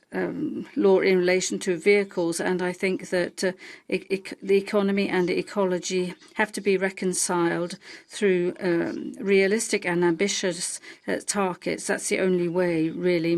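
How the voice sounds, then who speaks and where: A woman interpreting a parliamentary speech live, halting, with many filler sounds and restarts. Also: lowish voice, a little mellow. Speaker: simultaneous interpreter.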